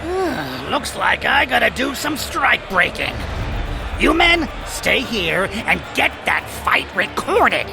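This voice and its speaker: A bloated, bullying alien tyrant from an audio drama, very high and heavily creaky. He drawls slowly and sneers like a crude showman, full of bluster. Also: sing-song, loud music behind the voice, exaggerated voice. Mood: scheming annoyed.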